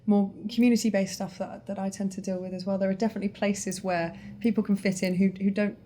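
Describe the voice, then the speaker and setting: A woman's voice: medium pitch, flat delivery, quick pace, warm tone. A woman hosting a casual interview, chatty and encouraging, with an enthusiastic way of talking. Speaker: host.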